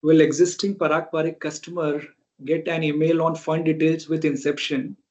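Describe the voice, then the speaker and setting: A man's voice: on the high side, level tone, normal speed, darkish tone. A man with an Indian accent moderating a conference call and reading questions aloud. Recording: dull, lo-fi conference call audio.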